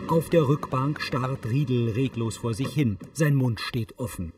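A man's voice: mid-range voice, a little slow, a little mellow. A man reading scripted narration that describes the scenes of a TV film.

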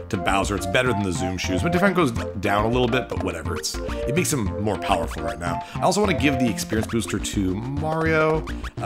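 A man giving casual, chatty playthrough commentary on a video game in a playful way. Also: lowish voice. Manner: chatty, playful, reads game text aloud in exaggerated tones. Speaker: gaming video host. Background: video game music.

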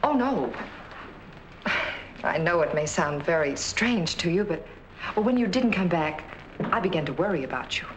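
An actress playing a young clinic nurse in a 1940s film, speaking softly and earnestly. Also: female voice, fairly low pitch, expressive, moderate pace, long pauses, lightly acted, low-quality recording. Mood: concerned. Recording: faint hiss on old film soundtrack.

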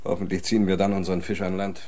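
An actor playing a musing senior detective in charge in a German radio crime play, terse and deliberating. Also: male voice, deep voice, level tone, racing, warm tone.

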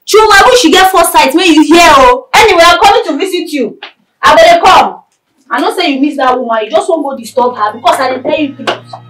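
A woman's voice: high voice, expressive. An actress playing a teasing, meddling young woman who speaks in Nigerian Pidgin. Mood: needling. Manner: teasing, needling, quick. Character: sharp-tongued young woman friend, mocking and meddling. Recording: echoey room from far off, faint noise.

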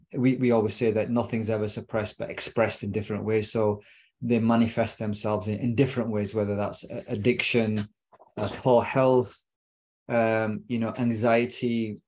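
Man in his 50s, lowish voice, thoughtful, repeats words as he goes, video call from home. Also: fairly even intonation, moderate pace, darkish tone. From Britain. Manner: reflective, repeats words while searching for phrasing. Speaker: relationship coach guest. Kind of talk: casual interview talk. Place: home room with bookshelves.

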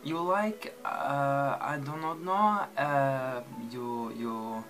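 A man's voice: medium pitch, animated, extremely slow, fairly bright tone, soft background music. A young man voicing a tongue-tied train passenger with a funny accent, stammering and unsure, in a comedy sketch. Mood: flustered.

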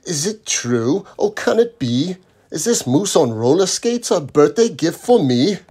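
A man's voice: a little high, sing-song, unhurried, a little mellow, slightly rough, pitched above the performer's own voice, exaggerated voice. A man voicing a delighted, gracious female bird from a children's storybook. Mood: delighted, grateful.

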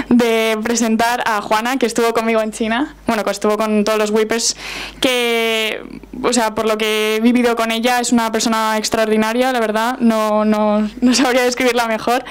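A woman's voice: fairly high pitch, level tone, normal speed, smooth. A young woman moderating a panel, speaking off the cuff with many fillers and repeats at a desk microphone. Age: young adult. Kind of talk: casual spoken remarks. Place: university auditorium panel.

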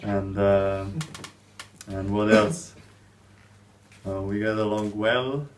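A man's voice: lowish voice, fairly even intonation, takes it slowly. A young man from Estonia introducing himself in a joking, laughing way to a camera in a small office. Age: young adult. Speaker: student volunteer. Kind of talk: casual self-introduction. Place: small office with green walls. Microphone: far camera. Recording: distant camera, faint hiss.

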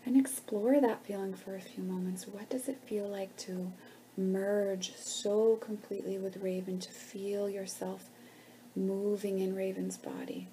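A woman leading a guided meditation in a gentle, hushed, soothing manner with pauses between phrases. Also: mid-range voice, little pitch movement, slight background noise, poor audio.

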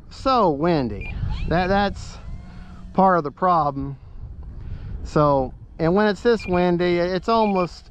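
A man giving casual, rambling, folksy running commentary as he flies a model plane outdoors, repeating himself as he goes. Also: a little high. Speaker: RC plane pilot and vlogger. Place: outdoors at an open model airfield. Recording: muffled outdoor recording, loud wind noise.

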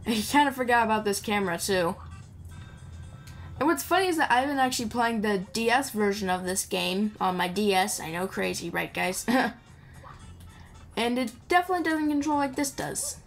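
A woman chats casually as she plays a video game, commenting off the cuff in a playful manner. Her voice sits at a middling pitch, with game music running behind it. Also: expressive, moderate pace. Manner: chatty, playful, mumbles asides to the game character. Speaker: gamer hosting a playthrough. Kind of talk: casual improvised gameplay commentary. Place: indoor voice-over recorded over game audio.

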